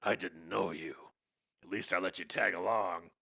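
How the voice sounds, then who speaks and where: A man voicing a terse, gruff adventurer in a low, dry delivery. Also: steady tone, normal speed, bright, forceful tone, exaggerated voice.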